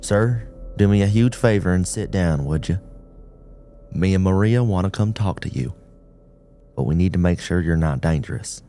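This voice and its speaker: A man playing a calm veteran cop, mellow voice. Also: low-pitched, a little hiss or hum. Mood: calm authority. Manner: measured, authoritative. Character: experienced male police officer, calm gentle authority.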